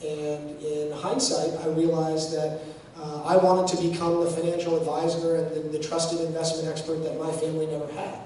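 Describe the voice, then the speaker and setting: A man's voice: a little high, completely flat delivery, moderate pace, mellow. Man talking in an echoey hall. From San Francisco Bay Area. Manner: conversational, many fillers and restarts. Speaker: panel speaker. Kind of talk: speaking to students at an event. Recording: echoey room, distant mic, faint noise.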